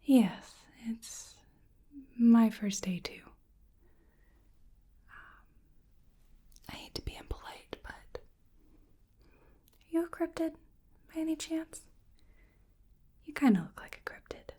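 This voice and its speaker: A woman speaks in a gentle ASMR roleplay voice that often slips toward a whisper. Her tone is mellow and fairly high, with a very breathy edge. She plays a polite, bewildered researcher, murmuring hesitantly to an unseen listener. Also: expressive, takes it slowly, long pauses. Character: bewildered, polite female researcher examining a creature. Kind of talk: soft-spoken ASMR roleplay.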